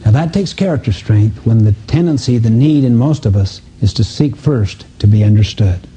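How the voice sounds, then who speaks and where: A man's voice: lowish voice, lively intonation, normal speed, warm tone, breathy edge. A man giving a motivational seminar lecture, telling anecdotes in an earnest, emphatic way. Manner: earnest, emphatic, storytelling delivery. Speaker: seminar speaker. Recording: dull muffled lo-fi audio.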